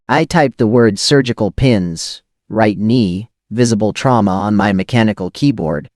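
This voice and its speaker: A man performs a hardboiled, rattled forger narrating a noir audio drama. His mid-pitched male voice swings widely through clipped phrases and weighty pauses. The sound is very dry, with no room on it, and the delivery is dramatic and cynical. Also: a little mellow, dry recording, lightly acted. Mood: grim disbelief.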